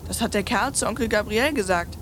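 A woman's voice: medium pitch, brisk, noisy background, lightly acted. An actress playing a brash, bossy, precocious little girl in a satirical radio play. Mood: nosy, blunt. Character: cheeky, foul-mouthed, bossy little girl.

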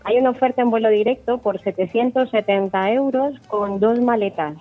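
A woman's voice: on the high side, moderate pace, soft background music. A woman with an Ecuadorian accent, a travel agent explaining offers conversationally in a radio interview. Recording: tinny phone line.

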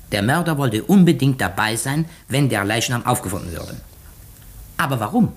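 A methodical detective in a 1950s radio drama. His husky voice moves in wide, animated swoops as he sets out his reasoning. He is deliberate and theatrical, leaving pointed pauses. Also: a little high. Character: shrewd, methodical male detective unmasking a murderer. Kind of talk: 1950s German radio play acting.

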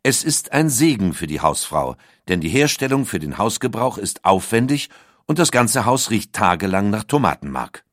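A man's voice: fairly low pitch, sing-song, normal speed, a bit bright, dry recording, clean studio sound. A man reads scripted documentary narration as one of its narrators. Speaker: second narrator of an audio documentary.

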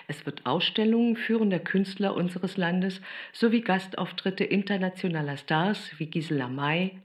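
An actress playing an East German state news announcer in a radio drama, reading in a stiff, official manner. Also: female voice, on the low side, natural voice.

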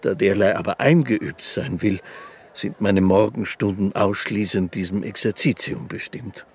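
An actor playing a poor, pious old street fiddler in a radio play, speaking in formal, hesitant, ceremonious phrases. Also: male voice, lowish voice, measured pace, a little mellow, slightly rough. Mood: dignified, devout. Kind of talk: German radio play dialogue.

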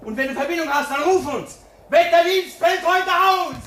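An actor playing an eager leader of a stranded polar crew, giving orders in a radio play. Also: male voice, very high voice, normal speed, background noise.

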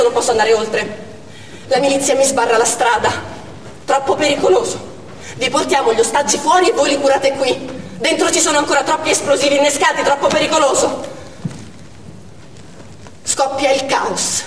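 High-voiced intense woman doctor, echoey hall. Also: a bit bright. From Armenia (the character). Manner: intense, deliberate, short clipped phrases. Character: Armenian woman doctor recounting a theatre siege, anguished, urgent. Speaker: stage actress. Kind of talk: dramatic theatre monologue. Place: indoor theatre stage. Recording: echoey theatre hall, distant mic, faint noise.

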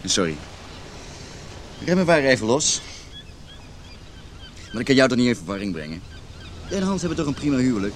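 A man's voice: mid-range voice, big pitch swings, brisk, pauses often, a little hiss or hum, close to an everyday voice. An actor playing a disillusioned, self-pitying married man in his thirties in a naturalistic radio drama. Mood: smitten, apologetic.

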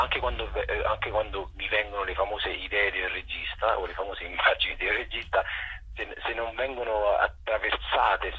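A male theatre director giving a reflective radio interview, speaking haltingly, searching for words and correcting himself, over a muffled phone-like line. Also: sits low, slightly rough.

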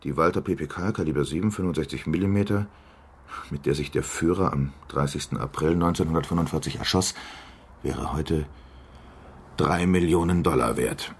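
An actor in a radio drama playing a male reporter who reads out a sober, measured, declamatory exposé. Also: extremely low pitch, slightly rough, full character voice. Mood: sober, accusatory. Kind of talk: scripted narration in a radio drama.

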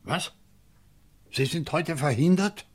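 A proud professor in a crime radio play, defensive and precise. The voice is a little high for a man and husky, with clipped phrasing. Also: slow pace. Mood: insistent. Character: indignant, cold-blooded professor suspected of murder. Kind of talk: German crime radio drama acting.

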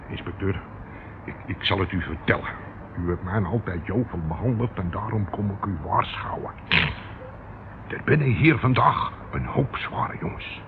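An actor playing a shifty, hesitant small-time crook with colloquial speech in a Dutch radio play, on a muffled, noisy old recording. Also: male voice, fairly low pitch.

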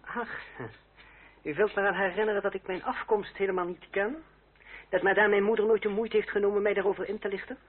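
An actor playing a proud, bitter young fencing master, speaking with formal, cool irony in a period radio drama. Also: male voice, sits high, fairly even intonation, a little fast. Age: young adult. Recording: tinny, noisy old radio play recording.

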